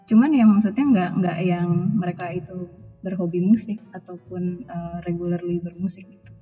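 A woman with a Jakarta Indonesian accent and a husky voice, chatting informally as a podcast guest and slipping in English phrases. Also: fairly low pitch, moderate pace. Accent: Indonesian, Jakarta colloquial. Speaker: podcast guest, musician and vocal coach. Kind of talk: casual interview chat. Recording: dull, echoey hall sound, music behind.

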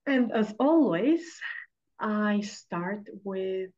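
A woman hosting a podcast, introducing her guest with effusive, drawn-out phrasing. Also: mid-range voice, lively intonation, mellow. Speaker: podcast host. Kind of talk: warm interview introduction. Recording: dull lo-fi sound.

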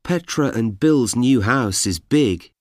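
A man reading example sentences for language learners, deliberate and evenly paced. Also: medium pitch, animated, no room sound, studio quality. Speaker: course audio speaker. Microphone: dry studio mic.

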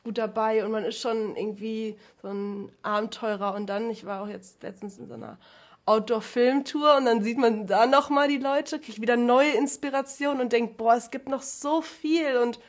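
A woman's voice: on the high side, steady tone, moderate pace. Chatty young woman, laughing often. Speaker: podcast guest. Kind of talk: casual podcast conversation.

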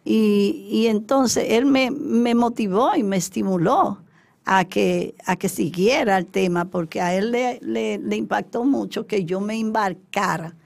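Interview guest: mature woman, Dominican accent, creaky mid-pitched voice, emphatic, re-enacts conversations as she recounts them. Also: measured pace, darkish tone. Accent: Dominican Spanish. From Dominican Republic. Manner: emphatic, acting out remembered exchanges in quoted speech. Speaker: interview guest, former representative of her country in China.

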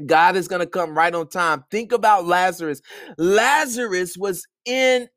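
A man's voice: sits high, unhurried, a bit bright, no echo, studio quality. A man hosting a podcast, giving an earnest, sermon-like talk of encouragement from his bedroom, repeating phrases for emphasis. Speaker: podcast host.

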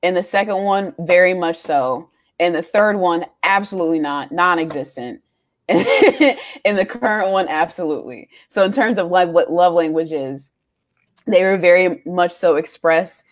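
Reflective young woman, lowish voice. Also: expressive, a little slow. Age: young adult. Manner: reflective, candid storytelling with frequent ums. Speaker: podcast co-host. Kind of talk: casual chat.

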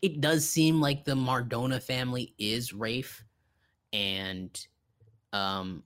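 A man's voice: medium pitch, steady tone, slow pace, fairly bright tone. Informal man chatting as podcast co-host. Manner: informal, swearing. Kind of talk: casual film discussion.

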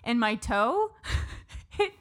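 A woman's voice: a little high, very animated, very slow. A woman hosting a casual podcast, chatty and giggly, her sentences full of fillers. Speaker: podcast host. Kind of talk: casual chat.

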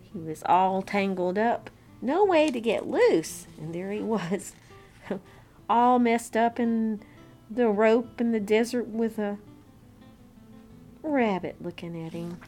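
A woman reading a children's story aloud in an expressive, unhurried, amused manner, with chatty asides. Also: mid-range voice. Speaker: children's story-time reader. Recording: classical violin music bed. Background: classical violin concerto background track.

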